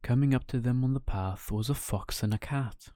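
Mellow male bedtime storyteller. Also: mid-range voice, moderate pace, no room sound, studio quality. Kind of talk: bedtime story narration for children.

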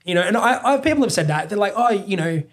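A man's voice: high voice, expressive, fast. Reflective Australian man, casual podcast guest. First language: not English. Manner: open, reflective, trails off in restarts. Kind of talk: casual chat.

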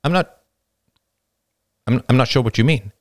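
A man's voice: mid-range voice, normal speed, voice pushed up, lightly acted. A man voicing an anxious, defensive young man who stammers and talks in a pressured way. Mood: flustered, evasive.

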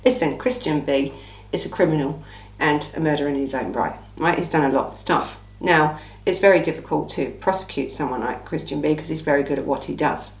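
A woman with an English accent and a slightly croaky, under-the-weather voice, hosting a casual true-crime Q&A in an informal, emphatic way with rhetorical asides. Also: sits low, fairly quick. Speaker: true-crime channel host. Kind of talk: casual chatty Q&A monologue. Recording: echoey room.

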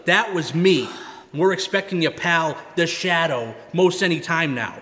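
An actor playing an excitable, jumpy gangster henchman in a live radio crime drama. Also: on the high side, unhurried. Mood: menacing. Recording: live stage, echoey hall with background noise.

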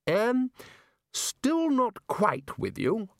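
A man voicing a bluff, hearty older male wizard leader, jovial and loud in his manner. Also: high-pitched, wildly swooping pitch, drawn-out words, gravelly, dry recording, clean studio sound, voice pushed up, exaggerated voice. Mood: puzzled.

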